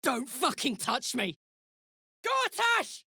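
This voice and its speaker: A woman voicing a tough, playful, warm-hearted tiefling warrior woman in a video game, talking bluntly and colloquially with plenty of swearing. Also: sits high, sing-song, takes it slowly, breathy edge, dry recording, clean studio sound, heavily acted. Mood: furious. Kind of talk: video game character voice lines.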